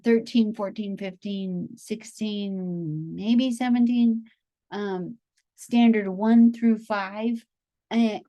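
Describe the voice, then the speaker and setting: Slow-talking woman lecturing to teacher trainees. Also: medium pitch, dark tone. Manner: unhurried, checks in often with "okay?" and "right?"; thinks aloud with many "um" pauses. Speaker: teacher educator. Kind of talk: classroom lecture explaining a chart.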